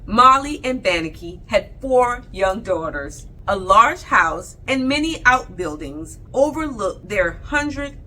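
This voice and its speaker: A woman reading a picture book aloud as a storyteller, with expressive delivery and deliberate, dramatic pauses between phrases. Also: a little high, a little hiss or hum, low-quality recording.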